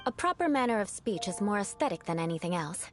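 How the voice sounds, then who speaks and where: A woman's voice: medium pitch, very animated, quick pace, a little hiss or hum, close to an everyday voice. A young woman voicing a haughty, refined aristocratic knight in an anime-style game, with a formal, instructive manner. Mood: composed, instructive.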